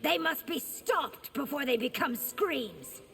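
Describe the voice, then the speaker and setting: An actress playing a regal, stern warrior empress who declaims imperiously in a fighting game. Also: female voice, high voice, animated, slow, creaky, fairly bright tone, soft background music, full character voice. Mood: stern resolve. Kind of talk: video game fight-intro dialogue.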